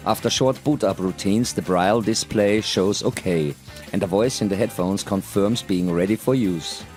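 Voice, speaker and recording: A middle-aged man gives a formal, deliberate scripted presentation into a headset mic in a small studio. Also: fairly low pitch, darkish tone, faint music behind. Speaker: presenter, audio educator. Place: small home studio, indoors.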